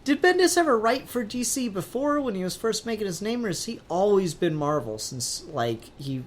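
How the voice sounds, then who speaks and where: A man's voice: high-pitched, big pitch swings, moderate pace. A man hosting a podcast in casual, rambling conversation with a joking manner. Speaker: podcast host.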